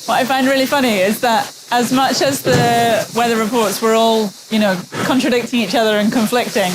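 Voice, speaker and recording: A woman sailing vlogger chatting casually to camera aboard a boat at sea, with an expressive, animated way of talking. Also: fairly high pitch, a little fast, background noise.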